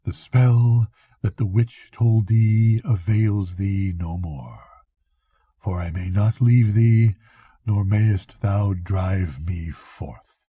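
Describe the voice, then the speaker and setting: A sly, evil soul from a bedtime storybook, as an older man reads him, coaxing in archaic phrases. The voice is low and husky, very mellow, and never hurried. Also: no echo, exaggerated voice. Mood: cold, defiant. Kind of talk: storybook reading.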